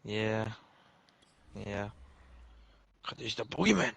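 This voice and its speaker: A man's voice: on the low side, expressive, takes it slowly, creaky, a bit bright, a little hiss or hum. A man on voice chat, heckling a friend playfully and giggling often. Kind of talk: casual gaming banter.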